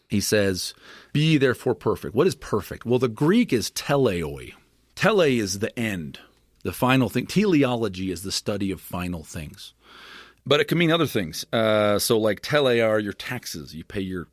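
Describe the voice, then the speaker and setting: A man on a podcast as a guest, explaining scripture in an animated, expressive teaching manner with frequent restarts. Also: on the low side, no room sound, clean studio sound.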